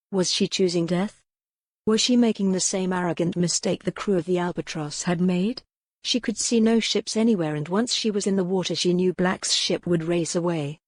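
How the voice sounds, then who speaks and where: A woman with a fairly low voice narrates a historical romance audiobook. Her delivery is scripted and dramatic. The sound is very dry, with no echo. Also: darkish tone.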